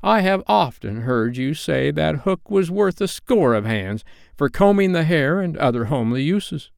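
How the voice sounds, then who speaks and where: Storybook pirate bo'sun, male: high-pitched, slow-witted, guileless, chatty and blunt. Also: lively intonation, vocal fry, a little mellow, no echo, clean studio sound. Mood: puzzled. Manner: simple-minded, guileless. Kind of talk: storybook character voice.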